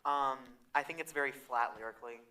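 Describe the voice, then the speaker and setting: A man's voice: mid-range voice, brisk, bright, forceful tone, low-quality recording. A man co-hosting a casual podcast about music, speaking in an emphatic, opinionated and playfully combative way. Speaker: podcast co-host.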